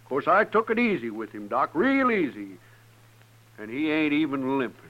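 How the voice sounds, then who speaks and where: A rough, short-tempered American cowhand with a fairly high voice. He talks bluntly and rustically in old radio western style, on a dull vintage recording. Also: sing-song, normal speed. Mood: gruff. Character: gruff, hot-tempered cowboy devoted to his horse. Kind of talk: 1950s western radio drama acting.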